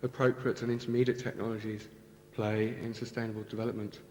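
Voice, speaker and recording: A man, asking a panel a question from the audience in a hesitant, even way. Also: on the low side, quick pace. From England. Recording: roomy echo, hall reverb, faint hum.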